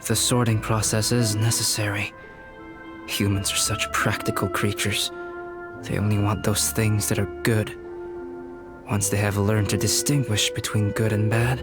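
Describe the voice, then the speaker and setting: A man playing a calm, aloof young scholar in a video game, speaking in a measured, formal, detached way. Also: lowish voice, loud music behind the voice, lightly acted.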